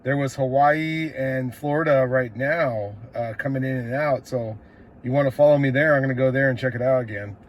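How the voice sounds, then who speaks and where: Casting: American male CB operator, mid-pitched, laid-back slangy radio chat, with clear static noise behind him. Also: steady tone, normal speed, highs cut off. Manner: laid-back slangy, says "man" often.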